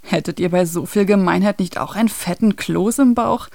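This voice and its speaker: A woman's voice: mid-range voice, moderate pace, gravelly, dark tone, dry recording. A woman hosting a children's radio show, chattily introducing a story straight to young listeners.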